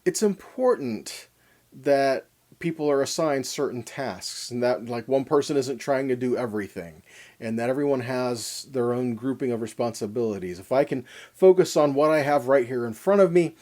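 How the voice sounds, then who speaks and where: A man's voice: medium pitch, moderate pace, mellow. A man giving a Bible teaching, explaining in a didactic way, posing questions and answering them himself. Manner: didactic, asks and answers own questions, checks in with "okay". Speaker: teacher of a weekly Torah portion.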